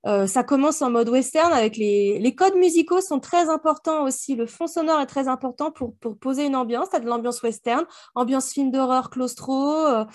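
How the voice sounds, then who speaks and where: A woman chatting casually in colloquial, slangy language, like a guest on a review podcast. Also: sits high. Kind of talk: casual chat.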